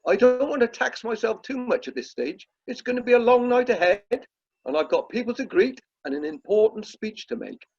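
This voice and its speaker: Man acting a pompous, husky British theatre chairman, high-pitched. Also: big pitch swings, normal speed, darkish tone, full character voice. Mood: irritated, bossy. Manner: pompous, self-dramatising, exasperated. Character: pompous, bossy amateur theatre chairman, irritable husband. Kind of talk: amateur radio play acting. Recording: muffled, lo-fi video call audio.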